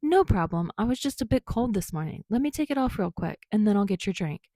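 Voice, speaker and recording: A woman's voice: mid-range voice, expressive, brisk, warm tone, no room sound, voice pushed up. A woman voicing a sunny, easygoing young man with a teasing, casual manner in an audiobook story. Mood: friendly, easygoing.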